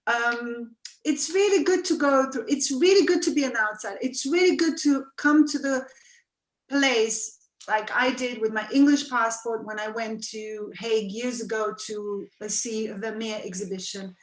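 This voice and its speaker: A woman's voice: fairly high pitch, reverberant, low-quality recording. A woman from Serbia, an artist, talking eagerly in a casual conversation over a video call. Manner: excitable, quick to jump in.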